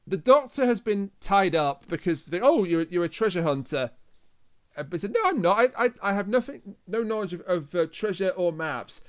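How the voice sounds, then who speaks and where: A man with a high, clear voice talks as a podcast co-host. He recaps a story casually and banters along the way, his explanations coming in halting pieces. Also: animated.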